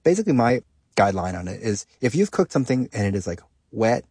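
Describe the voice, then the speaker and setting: Fast, animated call-in host, a man. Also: medium pitch, husky, no room sound, clean studio sound. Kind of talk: casual, playful chat.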